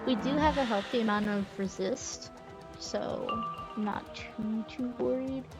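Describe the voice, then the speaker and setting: A woman's voice: on the high side, measured pace. A woman streamer giving casual, easygoing gameplay commentary, thinking aloud as she plays. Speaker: game streamer. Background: game music.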